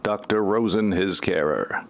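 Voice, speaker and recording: A man narrating a parody audio play in a deadpan, pompous, mock-serious way. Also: deep voice, unhurried, vocal fry, no room sound.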